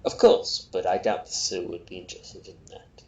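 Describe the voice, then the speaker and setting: A man's voice: low-pitched, fairly even intonation, normal speed, close to an everyday voice. A man voicing an obliging, deferential salesman from a story, formal and fussily apologetic in manner. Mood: coaxing. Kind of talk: storybook character voice. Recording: roomy echo, faint noise.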